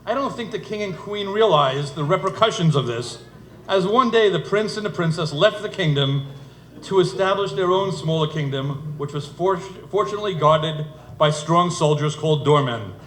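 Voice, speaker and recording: A man's voice: fairly high pitch, moderate pace, fairly bright tone. A middle-aged man giving a humorous wedding speech in a storytelling way, with dry asides, as father of the bride. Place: indoor wedding reception venue. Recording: echoey room, noisy event ambience.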